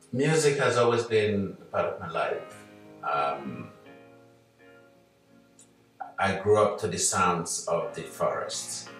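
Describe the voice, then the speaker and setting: An older man from Nigeria, a guest on an interview show, telling his life story slowly with many pauses and fillers. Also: low voice, a bit bright, heavy reverb, distant mic, poor audio. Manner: slow, hesitant with many fillers. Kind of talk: relaxed reflective storytelling.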